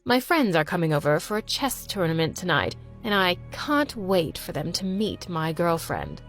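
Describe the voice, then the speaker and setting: A woman's voice: lowish voice, sing-song, normal speed, faint music behind, voice pushed down. A woman voicing a smug, nerdy teenage boy who affects a sophisticated British accent in a dramatized story. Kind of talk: dramatized first-person story.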